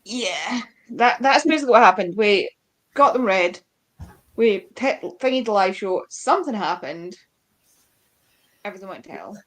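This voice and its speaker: A woman's voice: mid-range voice, expressive, moderate pace, long pauses, fairly bright tone. A woman co-hosting a live stream in casual chat, with an informal, wry way of talking. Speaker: co-host of a book readalong live stream. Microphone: live-stream video call.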